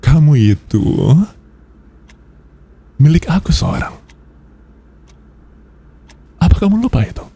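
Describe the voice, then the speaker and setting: An Indonesian man playing a possessive, jealous yandere boss in an intimate ASMR roleplay, soft and husky, teasing and controlling with a menacing sweetness. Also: on the high side, sing-song, full character voice. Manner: slow, teasing, controlling, with drawn-out pauses.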